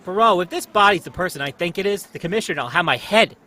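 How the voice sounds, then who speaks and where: A man plays an easily flustered police inspector in a radio drama. His voice is high and forceful, jumping around in pitch as he blusters and stammers. The delivery is urgent and exclamatory. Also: lively intonation, quick pace, exaggerated voice. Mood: agitated. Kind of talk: old-time radio mystery acting.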